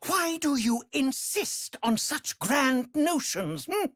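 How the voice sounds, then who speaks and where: Cruel vampire master for a video game: imperious, wildly swooping pitch, very slow and savoring. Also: male voice, big pitch swings, fairly bright tone, no room sound, studio quality, full character voice. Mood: sneering menace. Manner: pompous, imperious, sneering. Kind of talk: theatrical video game villain acting.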